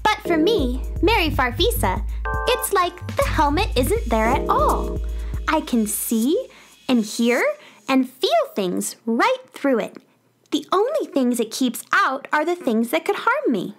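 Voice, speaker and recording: Eager, very high-voiced little space girl. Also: slow pace, faint music behind, full character voice. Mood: proud, explaining. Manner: childlike, pleading and expressive. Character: eager, impatient young space girl who loves exploring. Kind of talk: children's audio drama acting.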